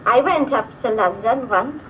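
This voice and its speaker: Rambling old Welsh auntie, tinny recording. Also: female voice, a little high, unhurried, fairly bright tone. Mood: wistful, rambling. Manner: rambling, musing, homely. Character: rambling, nostalgic elderly village auntie. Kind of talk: 1950s British film dialogue. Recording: tinny old film sound on hissy cassette.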